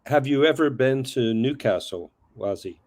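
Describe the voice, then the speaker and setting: An American man co-hosts a relaxed football chat. He is friendly and encouraging and laughs easily. His voice sits at a middle pitch and sounds dry and close. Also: moderate pace, no echo. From United States. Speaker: podcast co-host. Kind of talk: casual fan chat.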